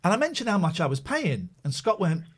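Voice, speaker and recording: Male late-night radio host, lively swooping delivery, rather high for a man, chatty. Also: brisk, breathy edge. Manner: animated, chatty, swearing.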